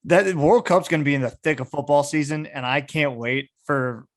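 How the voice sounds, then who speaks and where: A man's voice: a little high, normal speed. A young man from the United States hosting a podcast in casual sports chat. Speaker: podcast host.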